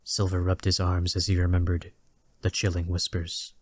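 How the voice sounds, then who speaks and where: A man reads dramatic fiction as its narrator. His voice is low and stays even, with measured literary phrasing, in a close, dry recording. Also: no room sound. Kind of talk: scripted dramatic story narration.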